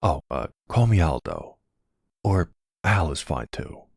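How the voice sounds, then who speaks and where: Man playing a very deep, gravelly mafia boss. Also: very animated, slow, no room sound, clean studio sound, full character voice. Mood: charming, flirtatious.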